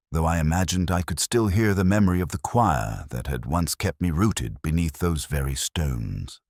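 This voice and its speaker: A man narrating a first-person detective story in a measured, brooding literary manner. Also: very deep voice, a little mellow, no echo, studio quality.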